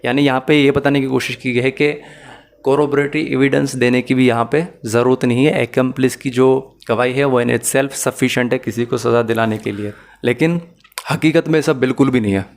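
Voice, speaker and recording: A man's voice: mid-range voice, fairly even intonation, normal speed. A man with a Pakistani accent lecturing as a law teacher, explaining methodically, rephrasing key points and mixing in English terms.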